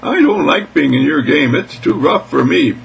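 A man's voice: a little high, animated, normal speed, vocal fry, lo-fi sound, slight background noise, voice pushed up. A man voicing a small, indignant talking mouse in a bedtime story, scolding and pleading in plain words.

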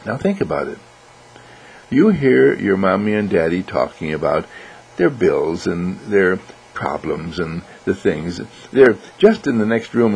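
A man voicing Mister Candle, a kindly talking candle who tells children bedtime stories in a gentle, reassuring, deliberate way. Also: low-pitched, gravelly, a little hiss or hum, full character voice.

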